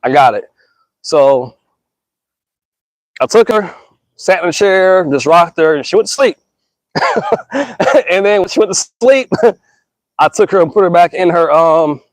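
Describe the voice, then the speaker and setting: High-voiced man musing in casual slang. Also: unhurried, pauses often, dry recording. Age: 30s. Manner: slangy and earnest, addresses listeners as y'all and bro; rambling with frequent restarts and stammers. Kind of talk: casual reflective monologue.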